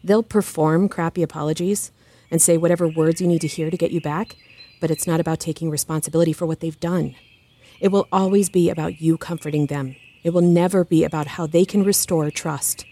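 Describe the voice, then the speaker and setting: A woman's voice: low voice, steady tone, brisk, warm tone. A woman playing a firm, principled, protective mentor in a naturalistic podcast drama, speaking directly and with assurance. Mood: firm, sincere. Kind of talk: naturalistic fiction podcast acting.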